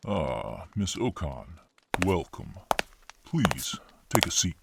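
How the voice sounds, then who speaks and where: A man playing a grave, courteous senior official in a fantasy audio drama, with a husky voice and a formal, guarded manner. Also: deep voice, big pitch swings, slow pace, very noisy, heavily acted.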